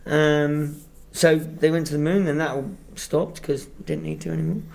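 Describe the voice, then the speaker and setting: A man's voice: fairly high pitch, fairly even intonation, moderate pace, gravelly, a little mellow. A man with an English accent that drifts toward Australian, co-hosting a casual podcast chat and ranting with plenty of swearing. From England. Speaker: podcast co-host. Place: Sydney.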